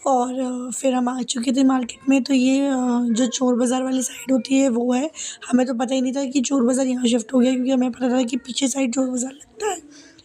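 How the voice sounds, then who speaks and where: Hoarse young woman vlogger, high voice. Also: little pitch movement, a little fast, warm tone. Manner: chatty, many fillers and "ठीक है" asides. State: sore throat, slightly hoarse. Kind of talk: casual vlog voice-over narration.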